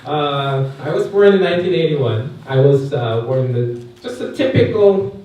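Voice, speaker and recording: A man's voice: fairly high pitch, animated, moderate pace. Korean-accented man in an echoey hall. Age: 30s. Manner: chatty, asks the audience questions, many fillers. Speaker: guest speaker at a youth camp. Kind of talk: personal testimony talk. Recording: echoey hall, mic far off, faint noise.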